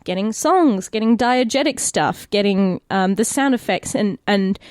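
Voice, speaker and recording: A woman's voice: medium pitch, unhurried, darkish tone, no room sound. Aussie woman just chatting on a podcast, candid and sweary, really dry close mic. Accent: Australian. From Australia. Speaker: podcast cast member, actor. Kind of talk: casual chat.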